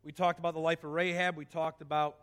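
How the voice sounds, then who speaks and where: A man's voice: a little high, flat delivery, quick pace, fairly bright tone, clean tone, big-room sound. A male pastor preaching a sermon in a church, reading scripture aloud and then pressing his point with rising emphasis.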